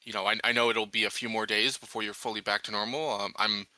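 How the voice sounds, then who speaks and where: Male roleplayer, lowish pitch with a strikingly bright edge, hesitant off-the-cuff delivery, casual. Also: flat delivery, quick pace, dry recording, low-quality recording. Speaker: player in a tabletop roleplaying game.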